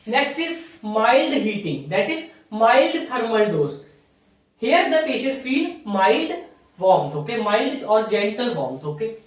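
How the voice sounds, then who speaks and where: A woman's voice: fairly high pitch, sing-song, slow pace. A woman with an Indian accent giving an explanatory physiotherapy lecture in a didactic way, repeating key points with little checking tags. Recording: very echoey room, distant mic.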